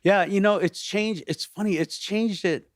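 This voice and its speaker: A pastor and worship leader in casual podcast conversation, animated and restarting his sentences often, peppered with "you know". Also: high voice, normal speed, no echo, clean studio sound.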